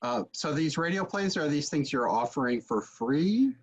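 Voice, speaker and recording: A man's voice: fairly high pitch, lively intonation, normal speed, husky. A man in his forties hosting a casual interview over a video call from his home, picked up by a computer microphone. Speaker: host, interviewer. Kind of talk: casual moderating talk. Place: book-lined home study, video call. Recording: dull, lo-fi video call audio.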